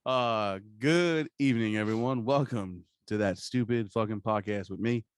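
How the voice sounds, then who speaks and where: A man's voice: lowish voice, moderate pace, clear, resonant tone, no room sound. An American man co-hosting a podcast in casual, comedic chat, rambling along with plenty of swearing and slang. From New Jersey, USA. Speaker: podcast co-host.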